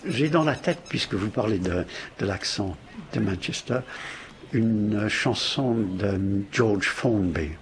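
An older male poet talking slowly and reminiscing in a conversational interview. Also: lowish voice, expressive, a little hiss or hum. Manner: slow and reminiscing, breaks into song.

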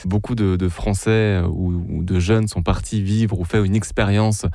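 A man interviewing a guest, asking questions in a measured, reflective way with some hesitations. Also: lowish voice, steady tone, gravelly, warm tone, dry recording, clean studio sound.

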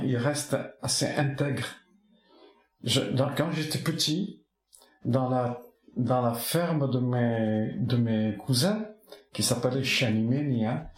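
A man from the Basque Country whose first language is Basque, talking slowly and poetically in an interview. He keeps restarting his phrases as he searches for images. His voice sits at an ordinary pitch and carries a roomy echo around it. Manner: soft-spoken, poetic, halting with many restarts. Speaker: artist interviewed. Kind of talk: reflective reminiscing interview.

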